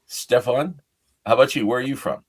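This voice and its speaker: A man's voice: medium pitch, fairly quick. A man with an American accent hosting a casual livestream chat. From California, United States. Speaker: host.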